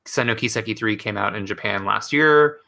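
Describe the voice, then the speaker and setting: A man's voice: lowish voice, a little fast, creaky, sharp tone. A man co-hosting a gaming podcast, talking casually and enthusiastically with many fillers. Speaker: podcast co-host. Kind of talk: casual chat.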